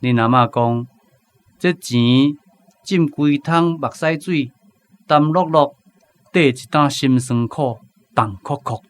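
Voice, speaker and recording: A man's voice: mid-range voice, takes it slowly, long pauses, close to an everyday voice. Actor as weary retired Taiwanese father. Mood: sorrowful. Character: weary retired father, plain-living and reluctant. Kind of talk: radio drama acting.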